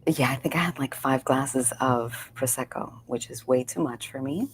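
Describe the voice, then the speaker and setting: A woman's voice: very deep voice, moderate pace, a little hiss or hum. A woman in her forties, a meditation coach, chatting casually on a live stream, slightly congested and rambling through friendly asides. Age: 40s.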